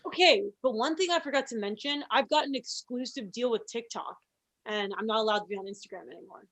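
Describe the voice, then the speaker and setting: Woman playing a blunt, high-ish voiced coworker. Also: fast talker, fairly bright tone. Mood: urgent. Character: blunt, oblivious, cheerfully meddling female show coworker. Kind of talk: comedy script table read.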